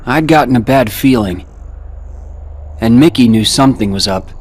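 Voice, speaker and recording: An actor playing a calm, wry young male companion in video game cutscenes, speaking in a measured, dry, serious way. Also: medium pitch, lightly acted. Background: faint game music.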